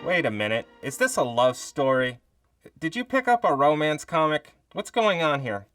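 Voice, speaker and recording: An actor playing an anxious, whiny thirteen-year-old robot boy in a comic skit, speaking haltingly. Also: mid-range voice, very animated, fairly bright tone, faint music behind. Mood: puzzled. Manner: hesitant, halting, whiny pleading.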